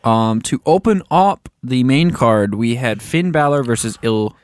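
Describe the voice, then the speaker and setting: A man's voice: mid-range voice, expressive, a little slow, smooth, no room sound. A man hosting a podcast, leading a casual chat in an upbeat way with frequent ums. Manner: upbeat, leads the show, lots of ums. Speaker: podcast host.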